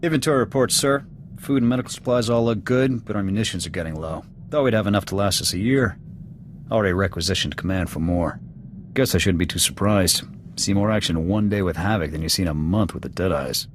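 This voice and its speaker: A man playing a gruff veteran male sniper officer speaking in clipped, matter-of-fact reports in a video game. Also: low-pitched, fast, a little hiss or hum, close to an everyday voice. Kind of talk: video game cutscene acting.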